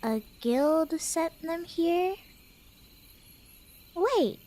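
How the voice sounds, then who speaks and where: Woman playing a high-voiced, teasing Cheshire cat girl. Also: lively intonation, drawn-out words, warm tone, exaggerated voice. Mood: curious, surprised.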